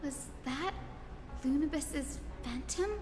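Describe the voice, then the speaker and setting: A young heroine from a fantasy video game. Her voice sits very high and she explains things gently and carefully, with some hesitation. Game music plays clearly behind her. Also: slow. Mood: thoughtful, hesitant. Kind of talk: scripted video game dialogue.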